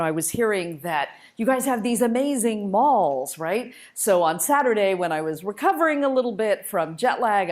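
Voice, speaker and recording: A woman giving an informal public lecture, conversational, enthusiastic and emphatic as she shares personal stories as a guest speaker. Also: lowish voice. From United States and Malaysia, born in India. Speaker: guest speaker, spacecraft engineer.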